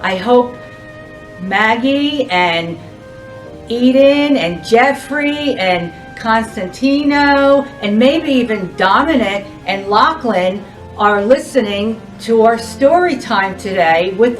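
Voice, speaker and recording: A woman hosting a children's storytime, reading aloud and addressing young kids in an expressive, sing-song, deliberate way. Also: a little high, far from the mic, soft background music, low-quality recording. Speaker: library storytime host.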